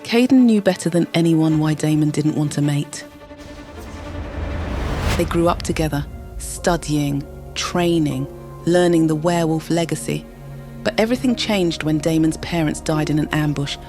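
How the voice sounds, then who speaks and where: A woman narrator with a low-pitched voice delivers scripted dramatic storytelling. Music plays clearly behind her. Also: normal speed.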